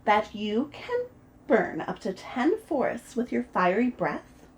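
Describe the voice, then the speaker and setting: A woman voicing a brave, clever young princess who speaks brightly and eagerly. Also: fairly high pitch, sing-song, takes it slowly.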